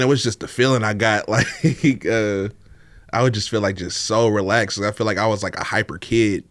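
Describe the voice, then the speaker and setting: A man's voice: lowish voice, a bit bright, no room sound. A laid-back man, a podcast guest chatting casually and laughing often, with many fillers. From Oakland, California. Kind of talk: casual interview chat.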